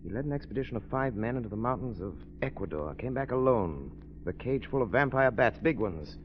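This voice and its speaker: Man acting as gentleman sleuth, medium pitch, crisp grave delivery, vintage radio drama, dull hissy recording. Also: animated, fairly quick, close to an everyday voice. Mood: grave, composed. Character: composed, knowing amateur detective gentleman.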